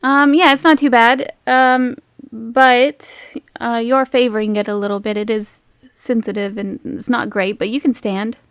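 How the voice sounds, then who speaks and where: A woman's voice: fairly high pitch, little pitch movement, unhurried, clean tone, no echo, studio quality. A woman improvising descriptions as game master of a tabletop roleplaying game, in an informal, feeling-her-way manner.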